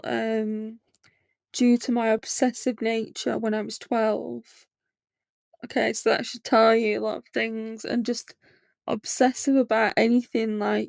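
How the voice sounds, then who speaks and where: Gravelly, flat-voiced young woman. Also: on the high side, no room sound. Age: 20s. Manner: candid, rambling, heavy with fillers. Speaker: podcast host. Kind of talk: personal monologue.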